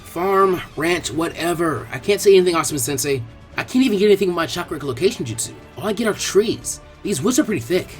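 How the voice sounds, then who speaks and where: A man's voice: high-pitched, lively intonation, fast, soft background music. A man voicing a brash, irritable, mischievous teenage ninja boy in a story reading, given to growling and indignant outbursts. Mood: grumbling.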